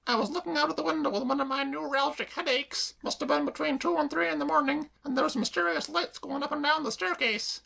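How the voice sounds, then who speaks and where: A man voicing an excitable, melodramatic woman cook who frets about burglars. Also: extremely high pitch, quick pace, creaky, few pauses, voice pushed up, exaggerated voice. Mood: darkly dramatic.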